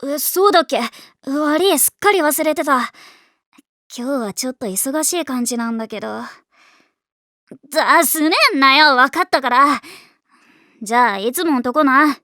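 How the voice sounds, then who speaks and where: A woman voicing a brash, cocky tomboyish teenage girl with rough, slangy, boastful speech in an anime. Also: sits high, moderate pace, sharp tone, no room sound, clean studio sound. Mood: casual. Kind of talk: anime voice acting.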